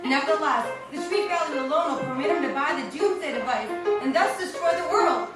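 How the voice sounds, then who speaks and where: A woman's voice: high voice, lively intonation, fairly quick. A woman playing a plucky, cocky detective heroine in a theatrical old-time radio serial parody. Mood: determined. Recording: echoey, distant, music underneath.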